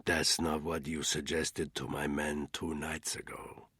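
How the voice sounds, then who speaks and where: A male narrator voicing a menacing middle-aged cartel boss who talks in a cold, hoarse near-whisper. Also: deep voice, measured pace, dry recording, studio quality. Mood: cold menace. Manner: cold, quiet, deadpan threats.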